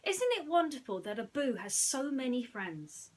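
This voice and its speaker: A woman's voice: high voice, sing-song, moderate pace, voice pushed up. A woman voicing a kind, easygoing young storybook hero, in an encouraging, emphatic way. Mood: cheerful.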